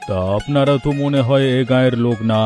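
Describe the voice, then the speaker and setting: A man's voice: medium pitch, fairly even intonation, brisk, a little mellow, close to an everyday voice. A man acts out an older village father in a cartoon. He speaks in a wary, questioning manner. Music runs behind the voice. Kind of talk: Bengali cartoon dialogue.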